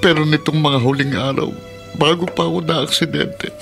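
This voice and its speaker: A man's voice: on the high side, steady tone, moderate pace, creaky, over music. An anguished, bitter Filipino father. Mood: bitter, suspicious. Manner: anguished, self-pitying. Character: despairing, bitter crippled Filipino father, self-pitying. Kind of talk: melodramatic radio drama acting.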